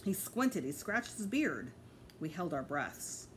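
Female storyteller, middle-aged, lively intonation, expressive read-aloud for children, slight room noise on a home recording. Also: lowish voice, slow. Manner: careful, expressive reading with voiced dialogue. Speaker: teacher reading aloud to pupils.

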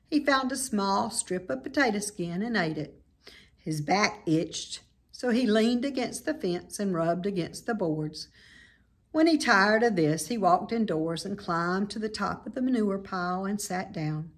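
An American woman in her fifties reading a children's book aloud in a measured, expressive way, in a small room at home. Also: medium pitch.

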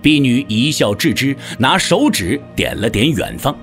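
A man's voice: medium pitch, big pitch swings, unhurried, a bit bright, soft background music. A man narrating a martial-arts novel as an audio drama, with theatrical, scripted storytelling delivery.

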